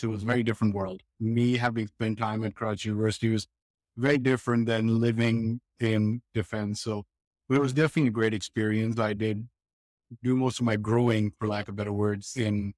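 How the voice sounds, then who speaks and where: A man in his thirties from Pakistan recounts his upbringing in a reflective, unhurried voice that sits fairly low. He circles back and restarts phrases as he remembers. The sound is dry and close, with no room around it. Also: dry recording. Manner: reflective, steady, with frequent restarts. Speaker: podcast guest. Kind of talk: casual interview storytelling.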